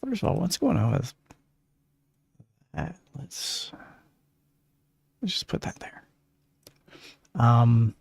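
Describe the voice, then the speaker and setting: A man's voice: on the high side, vocal fry, darkish tone, husky, no room sound. An older man, chatting casually on his livestream in a gushing way, with lots of fillers. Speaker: streamer.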